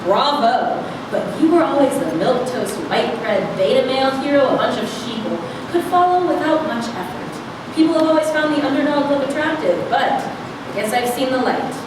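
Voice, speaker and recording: A woman's voice: high-pitched, normal speed, lightly acted. A woman playing a bitter, sarcastic teenage girl in a staged script reading, delivering biting speeches. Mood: bitter, accusing. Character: Josephine, scornful, bitter, eloquent teenage girl. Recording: distant echoey room, steady background noise.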